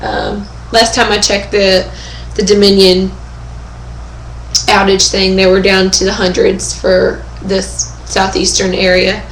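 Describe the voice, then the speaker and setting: A young woman with a hoarse, fading voice, chatting casually in a webcam update from home and often pausing with fillers and throat clearing. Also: mid-range voice, completely flat delivery, a little hiss or hum. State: hoarse, losing her voice. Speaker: vlogger. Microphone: webcam microphone. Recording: echoey room on a webcam.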